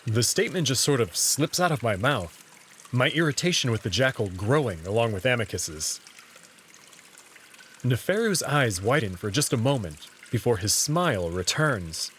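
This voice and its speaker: Lively male story narrator, mid-pitched. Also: normal speed, a little hiss or hum. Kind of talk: scripted first-person narration of visual novel.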